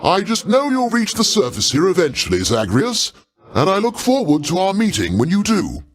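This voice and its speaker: An actor playing a proud, paternal older god in a video game, speaking in a pompous, avuncular way with formal, theatrical diction. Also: male voice, high-pitched, wildly swooping pitch, normal speed, exaggerated voice. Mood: encouraging, proud. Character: grandiose, paternal older male Greek god, proud, easily offended.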